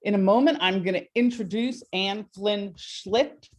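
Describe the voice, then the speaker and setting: A woman hosting a live webinar over a video call, talking in a warm, rambling way with many fillers. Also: medium pitch, unhurried. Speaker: host.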